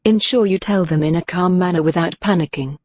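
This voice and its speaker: A man playing a solemn emergency broadcast announcer, reading formally in a measured way with long pauses. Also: high-pitched, no echo, clean studio sound.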